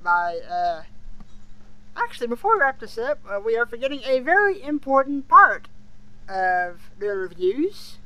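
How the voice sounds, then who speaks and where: A podcast host chatting casually about a show for fans, speaking in a halting, hesitant way. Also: mid-range voice, sing-song, pushed and bright, dry recording, rough recording. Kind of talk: casual fan podcast review chat.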